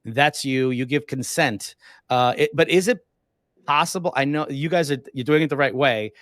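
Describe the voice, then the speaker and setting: A man's voice: medium pitch, moderate pace, fairly bright tone, no echo. Male host, casual chatty delivery, rambling, dead-dry room. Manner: rambling, thinks aloud with fillers. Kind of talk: casual interview chat.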